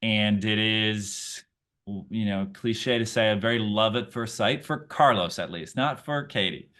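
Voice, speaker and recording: A man's voice: on the low side, a little slow, fairly bright tone. A man whose first language is Portuguese, an actor answering interview questions in a friendly, chatty way, thinking aloud as he goes. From Brazil.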